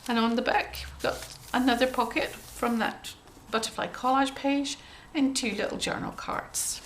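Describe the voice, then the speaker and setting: Croaky woman chatting about her crafts. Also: on the high side, fairly even intonation, moderate pace, a little hiss or hum, low-quality recording. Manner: gentle, chatty, with frequent ums and throat clearing. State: croaky from a cold. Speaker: craft journal maker showing her work. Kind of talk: casual show-and-tell.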